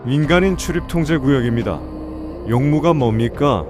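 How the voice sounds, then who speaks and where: A man's voice: mid-range voice, expressive, normal speed, over music, natural voice. A man playing a stern soldier on guard, speaking in a curt, official way. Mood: stern warning. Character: stern male soldier guarding a restricted zone.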